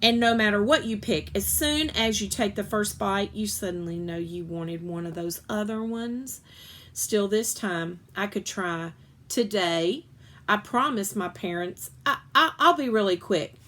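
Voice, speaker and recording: Woman giving an expressive children's read-aloud. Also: medium pitch, unhurried. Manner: expressive, acts out dialogue, occasional stumbles and self-corrections. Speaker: storyteller reading a children's book aloud.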